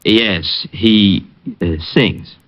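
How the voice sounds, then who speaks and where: An actor playing a mild, nervous client who speaks politely and hesitantly, in 1940s radio style. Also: male voice, fairly low pitch, extremely slow. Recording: dull old radio recording.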